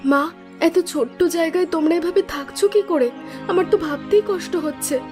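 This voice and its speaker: A worried young married daughter in a cartoon story, voiced by a woman, earnest and pleading. Her voice is high and bright-toned. Light music runs underneath. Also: moderate pace. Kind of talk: cartoon voice acting.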